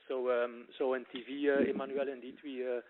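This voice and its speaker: Even-toned male executive on phone-like line. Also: mid-range voice, normal speed, slight background noise. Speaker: company executive answering analysts on an earnings call. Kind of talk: explanatory business Q&A. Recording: muffled conference call audio.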